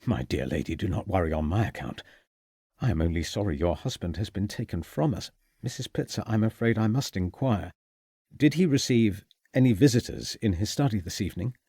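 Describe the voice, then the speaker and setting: A man voicing a calm, courteous gentleman investigator in an audiobook mystery. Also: fairly low pitch, husky, no room sound, studio quality. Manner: measured, courteous.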